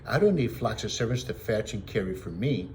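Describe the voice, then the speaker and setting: A man's voice: lowish voice, animated, brisk, a little mellow, slight background noise. Man reading as a haughty spoiled young prince. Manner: curt, stingy, superior.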